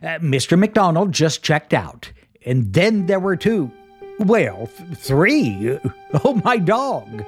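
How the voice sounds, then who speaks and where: Cocky 1940s radio dj, wisecracks, highish lively voice, old radio show w music. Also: male voice, slow pace, slightly rough, exaggerated voice. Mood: flippant. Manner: flippant, joking, chatty. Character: glib, wisecracking male radio disc jockey, brash skeptic. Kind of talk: 1940s radio acting, live broadcast patter.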